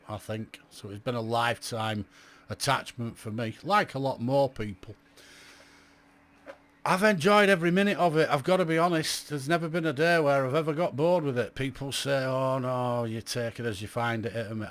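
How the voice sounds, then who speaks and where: A British man in his fifties recalling his years in radio in a chatty, rambling way, full of fillers and self-mocking asides. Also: mid-range voice. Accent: British English. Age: 50s. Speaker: radio hobby vlogger. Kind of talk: reminiscing monologue.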